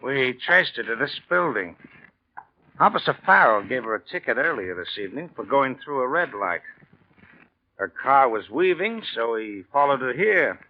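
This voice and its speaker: A man's voice: medium pitch, big pitch swings, normal speed. A man playing a shrewd homicide lieutenant in 1940s radio drama, offhand and folksy but slyly probing. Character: shrewd, casual homicide police lieutenant, quietly suspicious. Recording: dull, noisy vintage radio recording.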